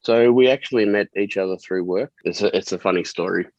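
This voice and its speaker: A man's voice: low-pitched, brisk, darkish tone, no echo. An Australian man from Tasmania, talking plainly about his life as a guest in a casual interview. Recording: slightly dull, lo-fi audio.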